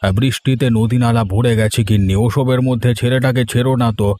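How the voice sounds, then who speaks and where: A man playing a strict, caring village father in an animated moral story, speaking in an admonishing, emphatic way. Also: medium pitch, quick pace, no room sound, natural voice. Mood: concerned, instructing. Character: strict, caring village father who sells chickens at market.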